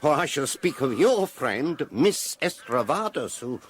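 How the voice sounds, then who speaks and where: An actor with a French-speaking Belgian accent playing a courtly, vain, shrewd detective with theatrical, formal phrasing in a radio play. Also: male voice, mid-range voice, big pitch swings, unhurried, a little hiss or hum. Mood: teasing, flattering. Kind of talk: British radio drama acting.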